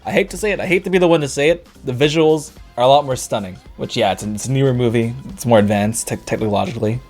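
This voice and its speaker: A man casually reviewing movies, rambling and correcting himself, his voice worn and going hoarse. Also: mid-range voice, quick pace, darkish tone, soft background music. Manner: rambling, self-correcting, laughs often. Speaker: YouTube reviewer.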